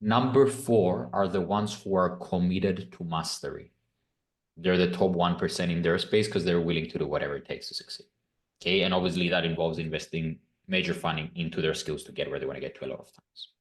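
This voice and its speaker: A man's voice: deep voice, a little fast, roomy sound. A man with a Greek accent running a live sales call, coaching and leading with questions, often checking in with "right?". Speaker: sales closer.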